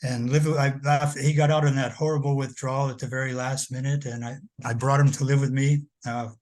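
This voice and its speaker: A man's voice: medium pitch, level tone, a little fast, a little mellow. A man speaking casually on a video call, rambling with many restarts and fillers. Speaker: guest speaker. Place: home.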